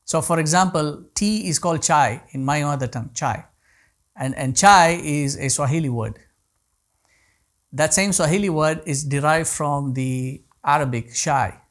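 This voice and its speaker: A man in his thirties, a native Kutchi speaker, teaches a class, his fairly high voice working through each point with frequent restarts and repeated words. He sounds close to a lapel mic in a small indoor room. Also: unhurried. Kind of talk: online class lecture answering questions.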